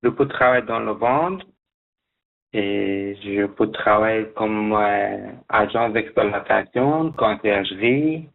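Guy calling into a radio show, real slow, keeps restarting, thin tinny phone sound. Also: lowish voice, creaky, a little hiss or hum. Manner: hesitant, with many restarts. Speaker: radio guest. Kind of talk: halting personal account.

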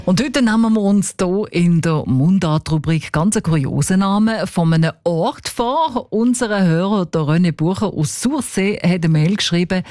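A radio host with a Swiss German accent, introducing a segment in a brisk, scripted announcing manner. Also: mid-range voice, darkish tone, no echo, clean studio sound. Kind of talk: radio presenting.

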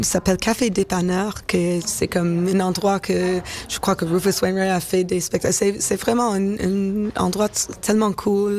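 Woman, anglophone Canadian accent, halting French full of fillers, gravelly edge, faint background hiss. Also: medium pitch, a little mellow. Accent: English-speaking Canadian. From Canada, born in Toronto. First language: English. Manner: hesitant, searching for words, many fillers. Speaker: singer-songwriter guest. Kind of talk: radio interview.

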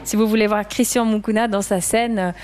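A woman hosting a lively radio show, playful and quick to laugh, with many small fillers. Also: medium pitch.

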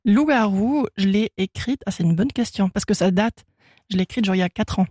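A woman's voice: mid-range voice, brisk, a little mellow, slightly rough, no room sound. A young woman from Switzerland, German her first language, chatting as a singer-songwriter guest in a radio studio, laughing often and talking with many fillers and restarts. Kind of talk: casual radio interview.

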